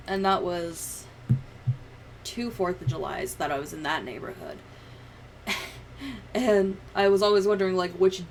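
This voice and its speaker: A young woman streamer talks casually in a fairly low, gravelly voice. She rambles in a loose, sweary way close to the mic. There is clear ambient noise behind her. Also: rough recording. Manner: rambling, profane. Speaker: livestreamer. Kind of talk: casual livestream chat while editing.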